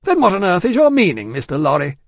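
Casting: big self-important man of business acted by a male reader, blustering and forceful, dry close sound, classic novel dialogue. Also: big pitch swings, moderate pace, slightly rough, no room sound, heavily acted. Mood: blustering, demanding. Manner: booming, pompous, forceful exclamations.

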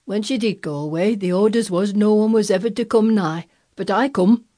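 A woman plays a crabbed old Yorkshire gardener in a storybook reading. He is dry, gruff and shrewd, with a grumpy tenderness under his broad country talk. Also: medium pitch, normal speed, a little mellow, voice pushed up, close to an everyday voice. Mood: grumpy, obstinate. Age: older.

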